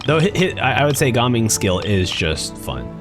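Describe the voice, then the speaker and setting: A man's voice: mid-range voice, sing-song, moderate pace. A man streaming a video game, giving casual, joking reaction commentary over game music. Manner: playful, joking.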